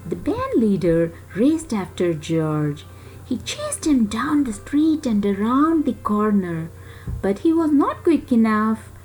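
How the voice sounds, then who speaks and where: Animated, high-pitched woman reading a children's story. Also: soft background music, rough recording. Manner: animated, dramatic pauses and emphasis. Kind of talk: expressive read-aloud narration of a children's story.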